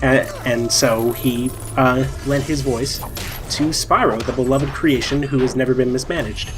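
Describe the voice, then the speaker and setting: A man's voice: mid-range voice, fairly even intonation, a little slow. A man hosting a video game playthrough, chatting casually in a dry, ironic, rambling way. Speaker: host playing the game. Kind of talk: casual joking chat, game commentary. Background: loud game music and effects.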